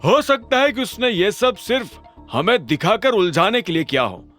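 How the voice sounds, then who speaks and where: Company boss with mob ties acted by a male narrator: earnest, emphatic, raised animated voice, audio drama. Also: high voice, moderate pace, faint music behind, pitched above the performer's own voice. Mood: pondering.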